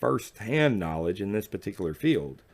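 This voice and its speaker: A man's voice: lowish voice, big pitch swings, moderate pace. A man voicing a congenial, reassuring female interviewer in an audiobook, conversational and persuasive.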